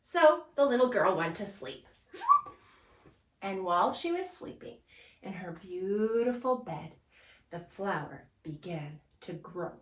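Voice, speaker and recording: A woman storyteller leading an interactive puppet story for children in a gently instructive way, using simple phrases. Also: mid-range voice, animated, slow pace. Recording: echoey room.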